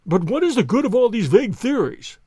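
A man's voice: high-pitched, sing-song, fairly quick, fairly bright tone, no room sound, studio quality, heavily acted. A man voicing a stern, impatient older banker in an audiobook, formal and emphatic in his indignation.

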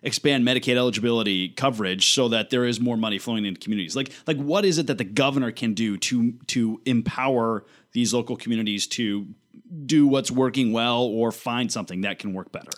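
A man's voice: mid-range voice, a little fast. A man hosting a political talk show, framing long questions and repeating words as he goes. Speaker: radio talk show host.

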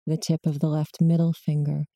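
A woman guiding a body scan meditation in slow, measured short prompts with long pauses between them. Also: low-pitched, flat delivery, dark tone, no echo, clean studio sound. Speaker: meditation guide.

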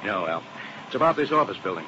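An uneasy insurance agent. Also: male voice, fairly low pitch, sing-song, fast talker. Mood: earnest. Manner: earnest, talkative, uneasy. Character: worried male insurance agent, earnest partner. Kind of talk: 1950s radio drama acting. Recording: muffled old radio broadcast with hiss.

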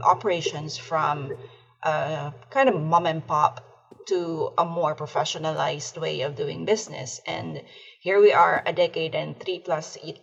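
Low husky woman with a Filipino accent. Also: muffled recording. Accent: Filipino English. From Philippines. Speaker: podcast guest. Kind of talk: casual podcast interview.

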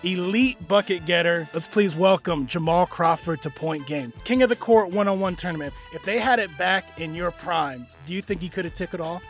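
A man's voice: high voice, normal speed, few pauses, soft background music. A man hosting a sports podcast, delivering promotional introductions in an upbeat announcing style. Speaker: podcast host.

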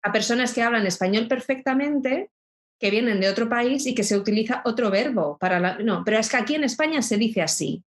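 A woman's voice: fairly high pitch, animated, brisk. Woman explaining thoughtfully. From Spain. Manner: thoughtful, explanatory, frequent restarts. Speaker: intercultural educator. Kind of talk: reflective interview talk.

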